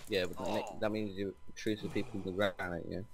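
A man's voice: sits low, level tone, a little fast, slight background noise. A man chatting casually and swearing freely while playing a block-building game with a friend. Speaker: Minecraft let's play host. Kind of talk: casual gaming chat with a friend.

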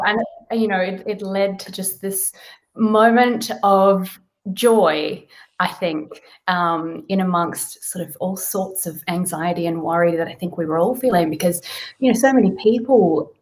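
A woman's voice: mid-range voice, measured pace. A woman in her thirties hosting a casual interview from home over a video call, chatty and enthusiastic. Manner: enthusiastic, chatty, laughs often. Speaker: interviewer, host. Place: home room.

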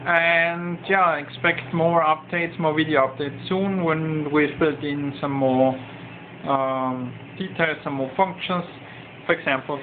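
A man giving an informal demonstration, speaking haltingly with pauses mid-sentence, recorded with a tinny, narrow sound. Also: a little high. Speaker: demonstrator of a home-built flight simulator. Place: indoor home cockpit simulator room. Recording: tinny narrow-band phone-like sound, noisy background.

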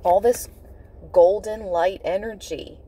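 A woman giving an intuitive tarot reading, speaking in deliberate, halting phrases with emphatic repetition. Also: mid-range voice, fairly even intonation, mellow, slightly rough. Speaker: tarot reader. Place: inside a parked car.

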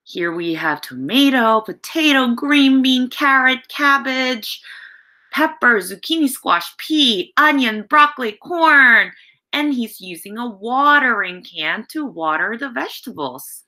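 Bright, expressive woman reading to children. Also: on the high side. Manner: expressive, explanatory, addressing children directly. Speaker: teacher reading a picture book aloud. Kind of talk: read-aloud lesson for young children. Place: home room with bookshelves. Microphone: video call.

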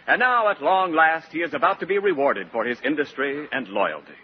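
A man's voice: fairly high pitch, expressive, a little fast, natural voice. A man playing a solemn American announcer, reading scripted narration for a 1940s radio show. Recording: dull, noisy 1940s radio recording.

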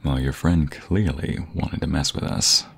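Flirty, loving husband for ASMR audio roleplay, played by a man: gravelly, creaky, very breathy, intimate. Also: a bass voice, full character voice. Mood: playful teasing. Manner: slow, intimate, often half-whispered. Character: affectionate, flirty, adoring husband.

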